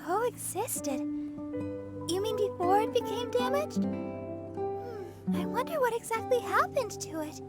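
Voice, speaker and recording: A woman voicing a gentle, wondering young girl in a video game, speaking tentatively and sweetly. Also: very high-pitched, expressive, takes it slowly, pauses often, over loud music, heavily acted. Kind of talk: video game character acting.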